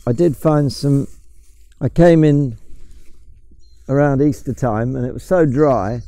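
A man's voice: medium pitch, slow pace, dark tone, dry recording. An older man with an English accent, casually explaining wild plants and mushrooms while walking through woods, with some hesitant restarts. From England. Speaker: mushroom forager guide. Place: woodland outdoors.

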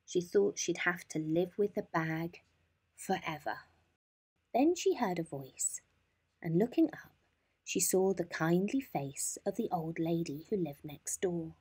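Woman, bedtime story narration, fairly low pitch, very animated melody. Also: measured pace, breathy edge.

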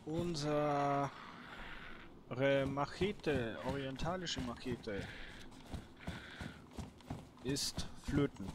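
A man's voice: medium pitch, extremely slow, pauses often. Man, noisy gaming commentary. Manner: talks to himself, muttering short remarks. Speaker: gaming video host. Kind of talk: casual solo let's play commentary. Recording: clearly noisy background with clicks.

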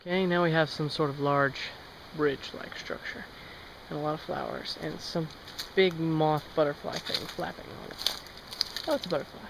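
A young man with a slightly high voice talks his way along an outdoor trail for his travel vlog. He muses out loud and drops wry asides, recorded on the camera's own mic. Steady outdoor noise sits under his voice. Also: moderate pace. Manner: chatty, musing, wry asides. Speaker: travel vlogger. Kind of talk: casual first-person travel narration. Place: outdoors on an overgrown trail and railway line. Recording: outdoor camera audio with clear ambient noise.

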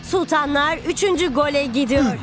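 A woman's voice: sits high, moderate pace, over music, lightly acted. A woman voicing a keen, dramatic football commentator in a cartoon. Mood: intrigued.

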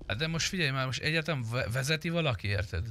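A man's voice: mid-range voice, expressive, creaky, sharp tone. A young man with a blunt, sweary way of talking, chatting casually during an online roleplay game. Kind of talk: casual gaming chat.

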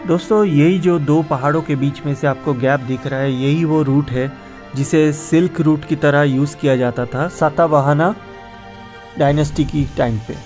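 A man with a fairly high voice talks viewers through a foggy, windy mountain pass in a casual travel vlog. He explains practical tips in a chatty, direct way, and music plays clearly behind him. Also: steady tone, moderate pace, darkish tone. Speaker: travel vlogger.

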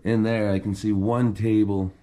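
A man filming himself as he explores a flooded basement in an abandoned house, talking in a low, hushed voice while crouching and climbing over clutter. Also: little pitch movement, normal speed. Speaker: urban explorer filming a tour. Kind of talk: hushed exploration vlog narration.

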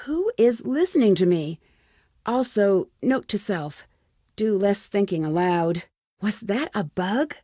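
A woman's voice: mid-range voice, expressive, slow pace, warm tone, no echo. Inquisitive young female sleuth for an adventure game: earnest, plucky musing to herself, close dry sound. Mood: musing, wry. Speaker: lead character in a detective adventure game. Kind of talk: scripted game dialogue, thinking aloud.

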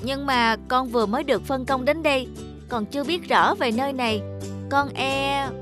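A woman's voice: sits high, normal speed, over music, lightly acted. A woman voicing an earnest, naive young tree sprite in a cartoon, youthful and tender-hearted. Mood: hesitant, dutiful. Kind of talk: cartoon character acting.

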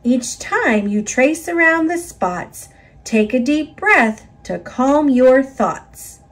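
Female storybook reader, slightly husky, very animated intonation, teaching young children. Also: high voice, takes it slowly. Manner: expressive, instructive delivery for young children. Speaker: picture book narrator. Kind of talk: read-aloud children's storytelling.

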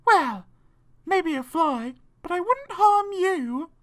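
A polite, fretful female spider nanny from a children's storybook, acted by a man in a very high, bright voice. She is huge but genteel, and takes her words slowly. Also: lively intonation, exaggerated voice. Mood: gently reassuring.

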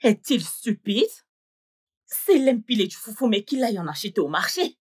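A woman's voice: mid-range voice, expressive, normal speed, husky. An actress dubbing a fierce, protective middle-aged mother who scolds in indignant outbursts. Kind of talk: dubbed film acting.

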